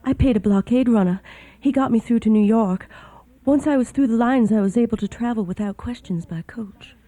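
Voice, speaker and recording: An actress playing a proud, sorrowful young Southern wife in a radio drama. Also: female voice, a little high, a little fast, dark tone, lightly acted. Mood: determined.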